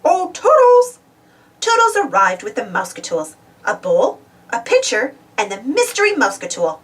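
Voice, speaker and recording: A woman's voice: medium pitch, huge pitch swings, normal speed, a bit bright, recorded from a distance. A woman reading a children's storybook aloud, expressively and simply for young listeners. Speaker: storybook reader. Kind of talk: scripted read-aloud children's storybook narration.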